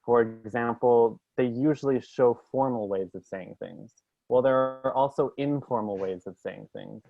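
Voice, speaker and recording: A man in a casual discussion, talking in a thoughtful, measured way and hedging his points. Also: medium pitch, no echo. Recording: tinny phone-like call audio.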